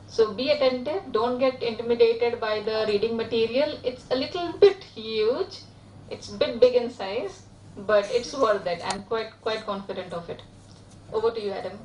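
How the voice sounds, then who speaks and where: A woman, a course organiser giving brisk, joking announcements before a lecture. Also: fairly high pitch, a little mellow, a little hiss or hum. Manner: brisk, joking, hesitant restarts. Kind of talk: classroom announcements. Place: lecture hall. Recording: echoey hall from far off.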